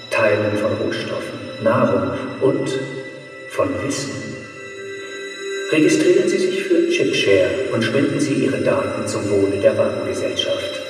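A male actor playing a solemn propaganda announcer in a radio drama, delivering measured slogans through public-address reverb. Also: lowish voice. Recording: distant, echoing hall with music behind.

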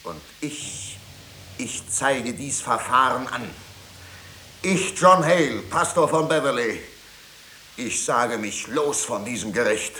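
Tormented, fervent pastor in hissy audio. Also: male voice, medium pitch, animated, slow pace, sharp tone, rough recording. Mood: defiant. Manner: fervent, formal. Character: tormented, pleading male pastor, fervent and guilt-ridden. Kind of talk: 1950s German radio drama acting. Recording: hissy 1950s radio recording.

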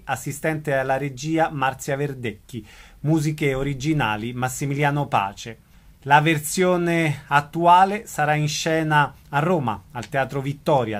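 A man announcing on radio, reading the production credits in an even, formal manner. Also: mid-range voice.

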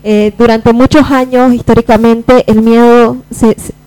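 A woman's voice: fairly high pitch, little pitch movement, a little slow, mellow, clean tone, no room sound, low-quality recording. A woman panelist in a live radio discussion, speaking hesitantly with frequent tag questions. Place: radio studio.

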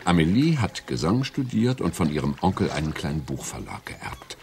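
A man narrating a radio play in a wry, measured storytelling manner. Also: sits low, sing-song, vocal fry.

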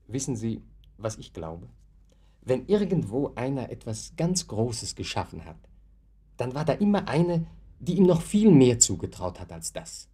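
A man's voice: mid-range voice, sing-song, unhurried, mellow, husky, exaggerated voice. A man playing a confident, breezy suitor in a German radio play, casual and self-assured. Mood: musing, animated. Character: confident, breezy male suitor, would-be technician.

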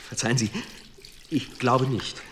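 An actor playing a shrewd, deferential senior police commissioner in a radio drama, measured, formal and dryly witty. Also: male voice, medium pitch, steady tone, a bit bright, slight background noise, natural voice.

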